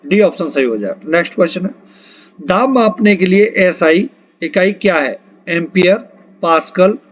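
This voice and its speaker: Guy with a pretty high voice doing quiz lesson questions and options, asks you to answer, kinda dull lofi audio. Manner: instructional, prompting listeners to answer. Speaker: exam-prep physics teacher. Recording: dull lo-fi recording.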